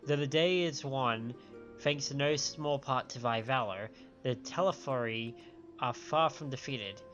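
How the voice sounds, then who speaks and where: A man's voice: mid-range voice, measured pace, a bit bright, lo-fi sound. A man on a live game stream, reading dialogue aloud with stumbles over the words and reacting to it. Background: game music.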